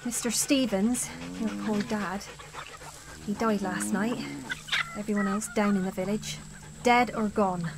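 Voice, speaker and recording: Loud farmyard noise surrounds a woman acting as a distraught lone female survivor. She speaks slowly and haltingly, grief-stricken, in audio drama style. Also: mid-range voice, steady tone, natural voice. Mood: grieving, desperate. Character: distraught, lone woman survivor caring for dying farm animals. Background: farm animals, chickens, outdoor ambience.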